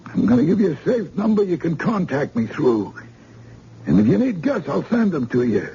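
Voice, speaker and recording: An actor playing a threatening gangster boss who gives clipped orders in an old-time radio drama. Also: male voice, a little high, very animated, normal speed, dark tone, breathy edge, heavily acted. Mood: menacing. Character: menacing male gangster boss giving orders. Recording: hissy, muffled old radio recording.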